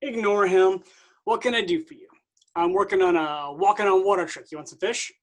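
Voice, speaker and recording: A man playing a smug, flippant Jesus with playful, drawn-out teasing in a staged comedy reading. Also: high-pitched, lightly acted. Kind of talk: staged reading of a comic play.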